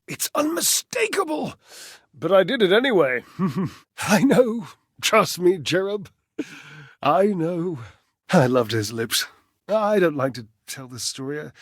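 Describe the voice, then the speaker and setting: An actor playing a flamboyant, lovelorn household-object character in a video game, theatrical, flighty and verbose, with dramatic pauses. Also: male voice, a little high, no room sound, clean studio sound, heavily acted.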